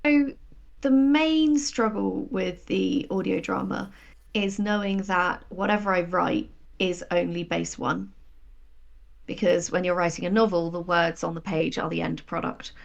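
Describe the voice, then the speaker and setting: A woman's voice: on the low side, fairly even intonation, measured pace, gravelly, muffled recording. A woman speaking as a guest writer in a reflective interview conversation, candid and explanatory about her own doubts. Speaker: guest, audio drama writer.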